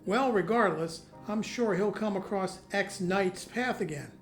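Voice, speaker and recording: A woman playing a calm, supportive confidant in an audio drama, encouraging in manner. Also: medium pitch, a little slow, soft background music, natural voice. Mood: relaxed, reassuring. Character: supportive, steady confidant of a vigilante hero. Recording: roomy echo.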